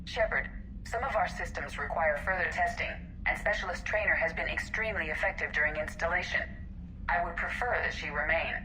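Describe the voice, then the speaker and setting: An actress voicing a calm, courteous female ship's computer in a video game, formal and precise. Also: on the low side, a little fast, slight background noise, rough recording. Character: calm, courteous female artificial intelligence of a starship. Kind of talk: video game character dialogue.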